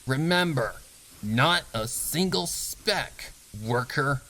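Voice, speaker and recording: A man's voice: a little high, lively intonation, takes it slowly, bright, forceful tone, voice pushed up, exaggerated voice, poor audio. A man voicing a cold, domineering female pegasus factory boss in a fan story reading, giving commanding, menacing orders.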